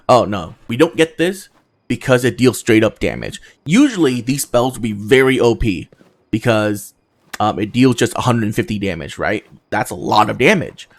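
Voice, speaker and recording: Creaky-voiced man doing casual game commentary. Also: lowish voice, no echo. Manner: thinking aloud, casually profane. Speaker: gaming video host.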